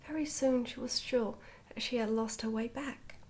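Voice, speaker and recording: A woman reads a story aloud for ASMR. Her voice is whispered and breathy, sitting slightly high. She tells the tale gently and carefully, keeping everything soft and hushed. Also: moderate pace. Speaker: ASMR book reader.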